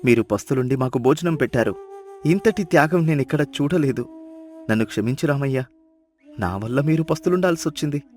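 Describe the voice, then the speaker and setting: A man's voice: medium pitch, animated, a little fast, a little mellow, lightly acted. A man playing a well-off, emotional village brother-in-law in a dubbed moral-story cartoon, with earnest, emphatic delivery. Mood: pained, insistent. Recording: music behind the voice.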